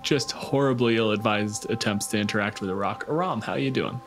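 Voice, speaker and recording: Male game master, lowish voice, irreverent sweary banter and narration for a casual tabletop podcast. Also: brisk, soft background music.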